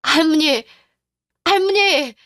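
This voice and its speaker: A woman's voice: sits high, slow, a bit bright, no echo, clean studio sound, voice pushed up. A woman playing an emotional teenage girl, attached to her family and resentful, in a radio-drama style story. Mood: upset.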